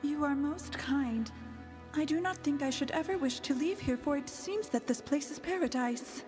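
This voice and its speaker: A woman's voice: high voice, normal speed, natural voice. A woman playing a polite, wondering young maiden in a radio play, with music under her voice. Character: Lilia, beautiful polite young woman in paradise.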